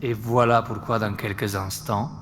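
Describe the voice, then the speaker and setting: A man playing a menacing terrorist in a French audio drama, taunting his enemy in a deliberate, theatrical, mocking manner. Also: fairly low pitch, fairly even intonation, a bit bright, lightly acted. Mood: grandiose menace. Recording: reverberant hall, faint background noise.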